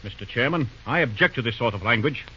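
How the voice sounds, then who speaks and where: A man plays a self-important company president who is formal and easily affronted. His pitch is medium and moves widely. The vintage radio drama recording is muffled and very noisy. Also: lively intonation, quick pace, natural voice. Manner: pompous, formal. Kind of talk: old-time radio drama acting.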